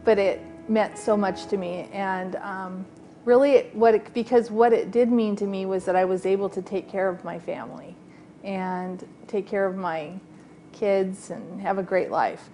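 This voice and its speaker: A woman's voice: mid-range voice, steady tone, unhurried, dark tone, soft background music. A woman who works as a nurse, from California, speaking in a heartfelt way in an interview. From Southern California, US. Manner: heartfelt, laughs easily. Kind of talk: reflective interview testimony.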